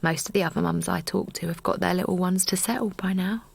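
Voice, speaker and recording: Gravelly, tired English young mother. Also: lowish voice, steady tone, fast talker, heavily acted. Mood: weary. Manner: confiding, present-tense storytelling. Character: tired mother of a baby recounting protests. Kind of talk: first-person audio drama narration.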